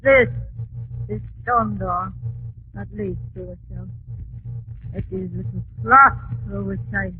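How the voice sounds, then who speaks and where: An actress playing a suspicious, fiery foreign servant woman with an accent and halting broken English, in old radio drama style. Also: medium pitch, phone-quality sound, soft background music. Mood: wary, grim.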